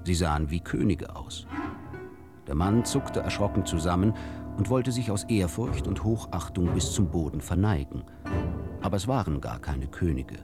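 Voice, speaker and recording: Sehr tiefe, lebhaft modulierte Männerstimme. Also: male voice, deep voice, lively intonation, moderate pace, over loud music. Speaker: narrator. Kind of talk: literary radio story reading.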